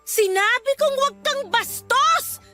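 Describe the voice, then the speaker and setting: A woman's voice: extremely high pitch, animated, a little slow, loud music behind the voice. A woman playing a pleading but stern mother in a radio drama, earnest and admonishing. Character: pleading but stern mother mediating between daughter and husband. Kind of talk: Tagalog radio drama acting.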